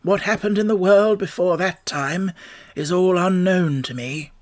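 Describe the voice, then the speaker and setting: A man's voice: sits high, measured pace. A man voicing an earnest, simple-hearted scarecrow made of straw, telling things in a storybook reading. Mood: wistful.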